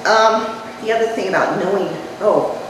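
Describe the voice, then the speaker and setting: An older woman giving a chatty public talk to a live audience, her voice dropping low as she speaks. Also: normal speed. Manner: conversational, chatty, jokes with the audience. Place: community event room. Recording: echoey hall, mic far off, noisy.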